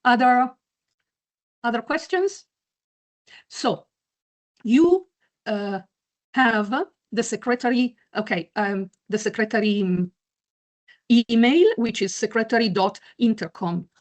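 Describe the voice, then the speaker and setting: A woman's voice: a little high, slow pace, pauses often. A woman chairing an online meeting, answering questions hesitantly with many fillers and restarts over a video call. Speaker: chair of a museum committee.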